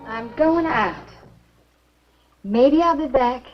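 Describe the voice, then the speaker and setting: An actress playing a scornful, defiant young wife in a 1950s B-movie. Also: female voice, high-pitched, expressive, slow pace. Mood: cold defiance. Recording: muffled old film, loud music.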